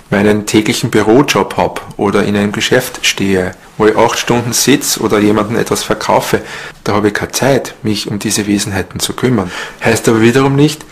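A man with an Austrian accent talking spontaneously in an interview. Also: lowish voice. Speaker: toy seller and spiritual practitioner.

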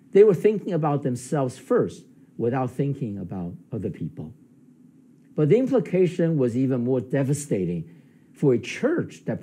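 Older male pastor, studio sermon; very dark, husky tone with lively pitch swings. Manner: measured, explanatory preaching with lively pitch rises. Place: studio with plain light backdrop, indoors.

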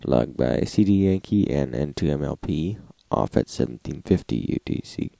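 A man with an extremely deep, heavily creaky voice reads log entries as a host. His tone is dark and mellow, his delivery plain and list-like, and the sound is close and dry. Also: animated, moderate pace, no echo. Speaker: host, radio log narrator. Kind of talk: scripted narration, reading logs.

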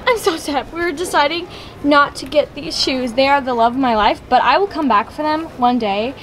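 A teenage girl vlogging to a handheld camera held close to her face, chatting in quick, bubbly bursts at home, in a car and at a mall. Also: sits high, noisy background. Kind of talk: casual vlog talk to camera.

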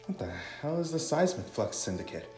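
A man's voice: fairly high pitch, very animated, fairly quick, dark tone, voice pushed up. A man voicing a troubled, earnest young fighter in a visual novel. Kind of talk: visual novel dialogue read aloud. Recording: roomy sound with music.

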